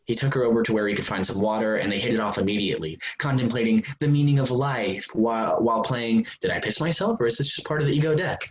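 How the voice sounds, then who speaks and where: A man's voice: on the low side, fast, hardly any pauses, close to an everyday voice. A man playing a smug, defensive amateur novelist in a staged play reading. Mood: showy, playful. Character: smug, self-justifying male amateur novelist, defensive about his sleazy book. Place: remote virtual staged reading. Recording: dull, noisy online call audio.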